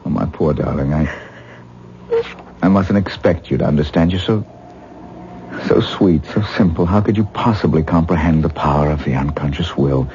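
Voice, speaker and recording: A man's voice: rumbling low, moderate pace, long pauses, a little mellow. A male actor playing an obsessive, self-absorbed stage-actor husband in a 1970s radio drama, with a rapturous, theatrical, musing manner. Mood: rapt, soft. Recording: muffled, hissy 1970s radio recording.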